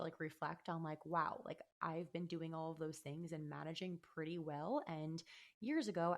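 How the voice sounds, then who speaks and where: Solo podcast host, female, American. Low register, a little hoarse, conversational and candid. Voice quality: slightly hoarse. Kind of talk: casual solo podcast talk.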